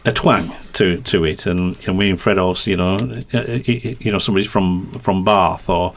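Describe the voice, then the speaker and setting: Middle-aged Yorkshire-tinged man, lowish voice, rambling detailed talker. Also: fairly even intonation, a little fast, a little hiss or hum. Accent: Yorkshire twang. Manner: rambling, hesitant, detail-heavy explanations. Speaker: racing commentator and guest. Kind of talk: podcast conversation.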